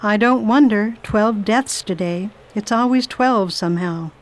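A woman's voice: medium pitch, a little slow, natural voice. A woman playing a determined volunteer nurse in a radio play, formal, forthright and insistent. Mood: alarmed, urgent.

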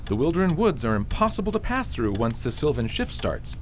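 Man playing an animated, plain-spoken old father. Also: mid-range voice, a little fast, a little hiss or hum, close to an everyday voice. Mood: advising. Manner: matter-of-fact, advising, emphatic. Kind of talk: fantasy radio drama acting.

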